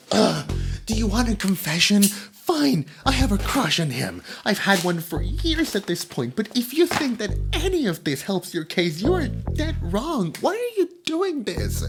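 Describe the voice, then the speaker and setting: A performer voicing a nerdy, easily flustered immortal demon in an ASMR roleplay, with a stammering, theatrical delivery. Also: medium pitch. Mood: panicked, flustered. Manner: stammering, theatrical, swinging from soft musing to panicked outbursts. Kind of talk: roleplay ASMR character acting.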